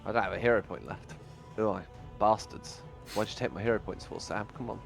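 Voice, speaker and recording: A man's voice: fairly low pitch, brisk, vocal fry, faint music behind. A man playing a tabletop game, bantering casually with swearing and dry self-deprecation.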